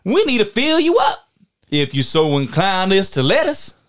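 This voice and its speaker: A folksy oil man played by a male comic with a Southern US drawl. The voice is high and swings about in pitch. He comes across as pushy, in a broad comedy sketch. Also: wildly swooping pitch, normal speed. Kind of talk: scripted comic sketch dialogue.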